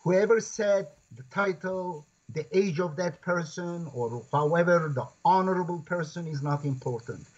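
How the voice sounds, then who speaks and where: A man with a Kurdish accent speaking as a guest in a discussion, phrasing things in a halting, deliberate way. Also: sits high, a little mellow. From Turkey. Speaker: guest, scholar and author. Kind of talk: conversational discussion. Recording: dull lo-fi online call.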